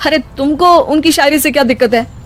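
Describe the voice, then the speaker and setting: A woman's voice: high-pitched, a little fast, pitched above the performer's own voice, natural voice. Casting: a woman as a laid-back cartoon husband, casual, brushing things aside. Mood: dismissive. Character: easygoing, dismissive husband, adult son of the household. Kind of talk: animated story character acting.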